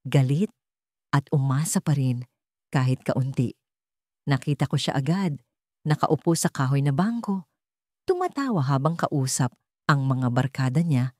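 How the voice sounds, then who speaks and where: Low-pitched, mellow woman storyteller. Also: dry recording, clean studio sound. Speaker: story narrator. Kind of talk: scripted first-person dramatic narration of a romance story.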